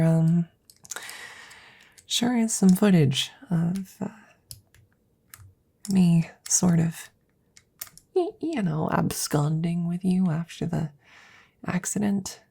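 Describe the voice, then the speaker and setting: A woman playing a worried, devoted, nerdy scientist best friend in an ASMR audio roleplay, speaking softly and breathily up close, often whispering, in a hesitant, rambling, reassuring way. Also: fairly low pitch, creaky, husky, exaggerated voice. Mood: nervous, sheepish. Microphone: close microphone.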